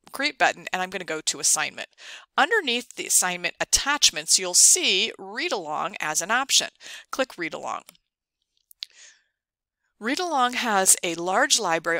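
A woman presenting an instructional screencast, explaining things clearly step by step. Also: medium pitch, sharp tone, no room sound. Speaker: ed tech tutorial presenter. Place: dry close voice-over for a screen recording.

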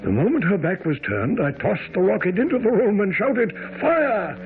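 An actor playing an earnest, loyal gentleman who narrates a radio mystery in a dramatic storytelling manner. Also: sits high, very animated, normal speed, slight background noise. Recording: very muffled old radio broadcast, music.

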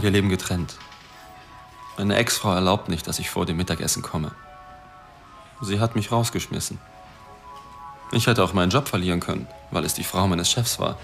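A wry newspaper reporter narrating a radio drama. His voice sits low and moves widely in pitch. Music runs clearly behind him. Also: fairly bright tone, full character voice. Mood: wry, flirting. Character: divorced male newspaper reporter telling his own story, wry. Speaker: narrator and lead actor.

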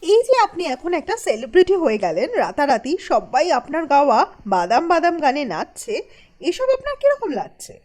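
Woman as eager cartoon reporter, probing interview style, high pitch, swooping intonation. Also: wildly swooping pitch, fairly quick, a little mellow. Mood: eager, inquisitive. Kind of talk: Bengali cartoon voice acting.

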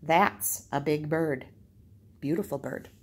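A woman's voice: low-pitched, takes it slowly, darkish tone, slight background noise. A woman teacher reading aloud and explaining in simple phrases, as in a storytime lesson.

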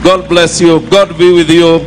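A man leading a night crusade in a stadium, speaking in short booming phrases for an interpreter. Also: flat delivery, measured pace. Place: large outdoor stadium at night. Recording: music playing behind, stadium PA.

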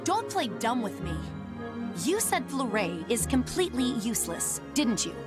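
Highish female voice, stern young soldier, proud, blunt, rule-bound, music behind, game drama. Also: big pitch swings, normal speed. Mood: scornful, angry. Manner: blunt, clipped, scolding. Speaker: video game character. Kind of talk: English game voice acting.

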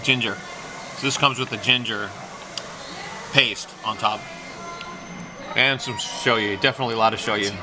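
A man in his fifties hosting a casual food vlog, chatty and playful, reacting loudly as he tastes sushi in a small restaurant. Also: on the low side, fairly even intonation, measured pace, pauses often, over music. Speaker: food vlogger host. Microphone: handheld camera close up. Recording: noisy restaurant room.

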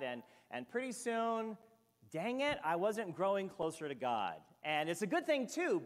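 A man in his fifties, a senior pastor from Hawaii, preaching a sermon at the pulpit with lively storytelling and asides. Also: high-pitched. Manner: animated storytelling with sweeping gestures and asides. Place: church sanctuary at a pulpit. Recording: long reverb of a church hall.

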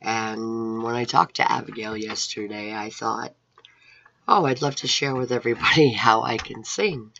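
Woman, middle-aged, gravelly husky voice, unhurried earnest testimony, dull webcam sound. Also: a bass voice, a bit bright, a little hiss or hum. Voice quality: raspy, hoarse. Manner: rambling, earnest, religious. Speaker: Bible college student. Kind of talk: personal video testimony. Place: room at home with painted scripture wall. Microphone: webcam at close range.

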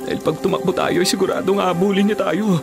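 A man's voice: high-pitched, fast, vocal fry, over music. A young man playing a cautious, bossy leader of frightened companions in a radio drama, giving instructions. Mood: urgent. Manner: urgent, instructing, animated. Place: radio studio booth. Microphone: studio condenser mic with pop filter.

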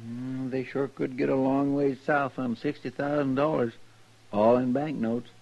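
An actor playing an eager, talkative frontier deputy with a folksy twang and rural grammar, in a 1950s radio drama. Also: male voice, medium pitch, little pitch movement, a little slow, gravelly. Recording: dull vintage radio transcription.

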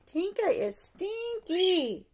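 A middle-aged woman reads a rhyming picture book aloud to young children in an expressive sing-song way, pausing to ask them questions. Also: very high-pitched. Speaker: preschool story-time teacher reading aloud. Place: living room at home, indoors.

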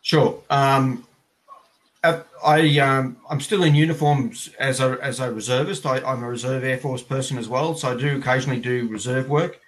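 A man's voice: mid-range voice, fairly even intonation, moderate pace, rough recording. Australian man in his fifties explaining things. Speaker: interviewee, air traffic controller. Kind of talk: conversational explanation in an interview. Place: plain indoor room, video call.

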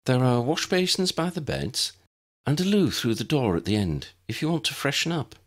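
A man's voice: medium pitch, very animated, normal speed, pitched above the performer's own voice. A man voicing a breezy, worldly teenage girl at a wizard school in a storybook, dreamy and knowing. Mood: helpful.

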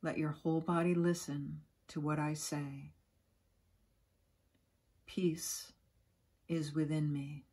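A woman guiding a yoga nidra relaxation in a soft, breathy, soothing voice, giving gentle instructions with long pauses. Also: sits low, flat delivery. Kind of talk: guided relaxation meditation.

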